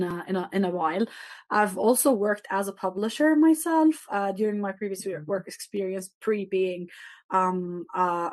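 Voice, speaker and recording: A woman in her thirties, a native Arabic speaker from Jerusalem, talks on a discussion panel. She sounds earnest and reflective, often pausing on fillers and restarting her sentences. Her voice sits at a middle pitch, recorded close and dry. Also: a little mellow, no room sound. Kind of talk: panel talk.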